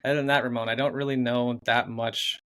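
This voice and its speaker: A man hosting a podcast, introducing his guest and asking relaxed, filler-heavy questions in casual chat. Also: medium pitch, brisk. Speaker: podcast host.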